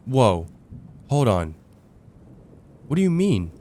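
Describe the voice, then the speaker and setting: A young man playing a casual heartbreaker friend confiding about dating in a conversational, hesitant ASMR roleplay, with sighs and trailing pauses. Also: mid-range voice, very animated, mellow, a little hiss or hum. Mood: surprised, curious. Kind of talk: ASMR roleplay, acted one-sided conversation.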